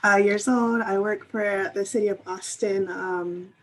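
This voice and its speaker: A woman's voice: medium pitch, steady tone, unhurried, poor audio. A woman in her thirties giving a friendly, loose self-introduction on a video call. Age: 30s. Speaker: city youth programs worker.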